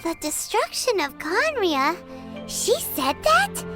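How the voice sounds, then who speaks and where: A woman's voice: extremely high pitch, slow pace, over music, full character voice. A woman voicing a small, chirpy, curious childlike fairy companion in an anime-style video game. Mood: surprised. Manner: refers to self in third person, excitable.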